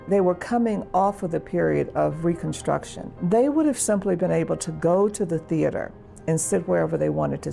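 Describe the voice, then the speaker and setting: A woman explains history in a documentary interview with a storyteller's manner. Her voice is quite low, mellow and full of creak, and music plays underneath. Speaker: expert interviewee.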